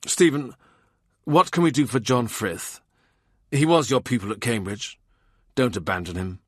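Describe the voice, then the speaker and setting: A man's voice: medium pitch, unhurried, a bit bright, no echo. Man playing a shrewd, wry royal adviser. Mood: appealing. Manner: dry, courteous, probing. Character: shrewd, wry, persuasive male royal adviser of humble origins.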